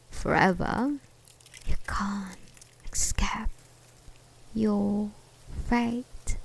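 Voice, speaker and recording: A woman's voice: medium pitch, creaky, exaggerated voice. A woman acting an obsessed, menacing ex-girlfriend in an ASMR roleplay, with a mock-tender, taunting manner. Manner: mock-tender, taunting, breaking into whispers and laughs. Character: obsessed, menacing yandere ex-girlfriend stalking her lover.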